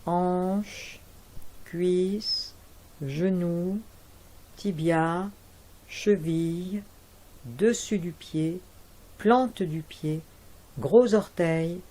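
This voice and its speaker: A woman leading a guided yoga nidra relaxation as an instructor, giving calm, measured instructions with deliberate pauses. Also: on the low side.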